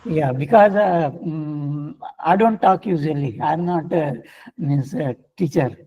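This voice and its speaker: A man with an Indian English accent giving a workshop lecture, halting with many fillers and restarts, his voice getting hoarse. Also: fairly high pitch, muffled recording. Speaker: guest lecturer. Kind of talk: online faculty workshop lecture.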